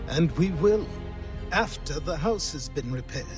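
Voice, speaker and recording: An actor playing a stern old mentor with a sardonic, admonishing manner in a video game cutscene. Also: male voice, a little high, lively intonation, a little slow, faint music behind. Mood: dry.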